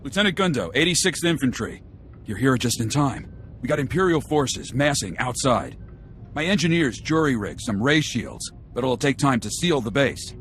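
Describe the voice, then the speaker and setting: An actor playing a brisk army lieutenant in a video game, with a clipped military delivery. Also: male voice, medium pitch, lively intonation, slight background noise, close to an everyday voice. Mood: urgent. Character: brisk, urgent army lieutenant holding a besieged base. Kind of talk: video game cutscene acting. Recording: game music under the voice.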